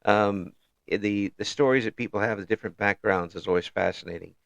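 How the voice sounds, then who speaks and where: A man from Illinois hosting a casual online interview, talking in halting restarts with frequent chuckles. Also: on the low side, creaky, no echo. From Illinois, USA. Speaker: interview show host.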